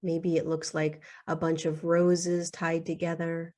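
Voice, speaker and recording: A woman leading a guided meditation in a soothing, unhurried way, leaving long pauses between short phrases. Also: low-pitched, monotone, darkish tone.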